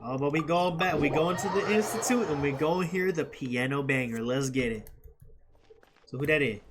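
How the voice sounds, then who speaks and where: A woman's voice: sits low, expressive, moderate pace. A woman gamer giving casual, slangy and playful reaction commentary as the game plays. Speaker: gameplay streamer. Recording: loud game music underneath.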